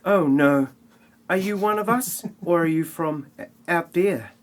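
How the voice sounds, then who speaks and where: A man voicing an excitable, flustered, easily amazed character with exaggerated astonishment in an amateur comedy audio play. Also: on the high side, measured pace, voice pushed up, natural voice.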